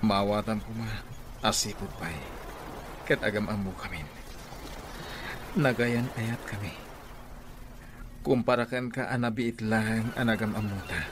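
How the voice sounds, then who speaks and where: A man acts out a sheepish, evasive young husband in a radio drama. He speaks haltingly in a fairly low voice, with clear noise behind him. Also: lightly acted. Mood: guilty, apologetic. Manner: hesitant, halting.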